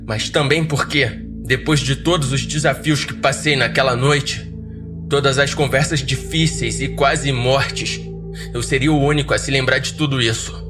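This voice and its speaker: Brazilian male horror narrator over prominent music. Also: mid-range voice. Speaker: podcast narrator. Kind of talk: first-person horror story narration.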